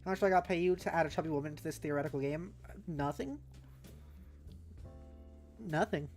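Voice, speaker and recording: A woman with a fairly low voice talks over background music on a casual livestream. She thinks out loud in loose, informal fragments, with a playful manner as she chats with her viewers. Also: a little fast. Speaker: livestreamer host. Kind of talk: casual livestream brainstorming, reading chat aloud.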